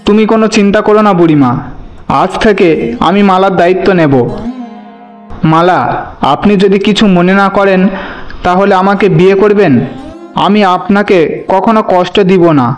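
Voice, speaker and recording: Young cartoon hero, voiced by a man: high voice, brave, earnest, protective, faint music underneath. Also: steady tone, moderate pace, pauses often, natural voice. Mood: tender, earnest. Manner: earnest, resolute. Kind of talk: Bengali cartoon voice acting.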